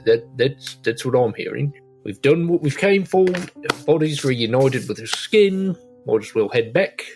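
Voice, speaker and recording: A man's voice: mid-range voice, expressive, measured pace, over music. A man playing a tabletop game, joking and getting flustered as the talk goes sideways. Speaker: player. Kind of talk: casual tabletop roleplay.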